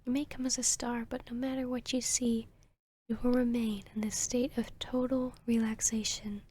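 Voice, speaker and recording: A woman's voice: fairly high pitch, level tone, moderate pace, mellow, slightly rough. A woman voicing a seductive, arrogant vampiress posing as a therapist in an ASMR roleplay, half-whispering in a soothing, commanding, teasing way. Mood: commanding, calm. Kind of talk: ASMR roleplay, hypnotic guided induction.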